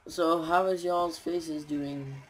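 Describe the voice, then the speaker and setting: A young man with a raspy voice and a stuffy nose, rambling tiredly with many fillers as he comments on his gameplay. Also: a little high, fairly even intonation, slow, poor audio. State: stuffy nose, sore throat.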